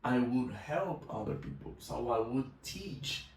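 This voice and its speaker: A man's voice: medium pitch, lively intonation, a little slow. Brazilian man telling his story in a roomy echo. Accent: Brazilian Portuguese. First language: Portuguese. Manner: casual, conversational, with filler phrases. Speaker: language teacher. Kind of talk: personal storytelling vlog. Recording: roomy echo, mic at a distance.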